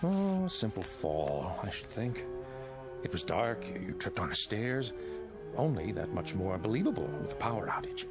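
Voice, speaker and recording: Deep, courteous, menacing killer. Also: male voice, sing-song, music in the background. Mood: gloating, menacing. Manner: unhurried, falsely polite, coolly condescending. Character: menacing, courteous male killer toying with his victim. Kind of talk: radio drama acting.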